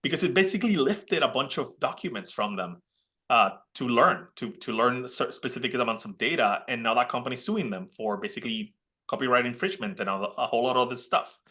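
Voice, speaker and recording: A bright-voiced man in his thirties with a Puerto Rican accent gives a casual webinar presentation. He talks in a chatty way, restarting sentences and filling gaps with "uh." He is heard through a computer mic on a video call. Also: medium pitch, fairly quick. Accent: Puerto Rican Spanish. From Puerto Rico. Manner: chatty, many restarts and "uh" fillers. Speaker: college dean presenting a webinar.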